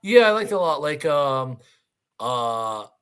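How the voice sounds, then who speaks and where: A man's voice: a little high, sing-song, measured pace, fairly bright tone, smooth. Hesitant man in casual film chat. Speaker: co-host of a movie countdown show.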